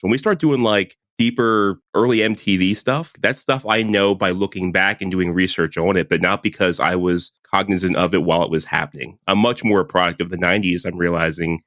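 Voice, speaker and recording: A man's voice: low voice, fairly bright tone, dry recording, studio quality. A man in his forties co-hosting a podcast, chatting casually and laughing often, with lots of "like" fillers. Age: 40s. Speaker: podcast co-host. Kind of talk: casual chat.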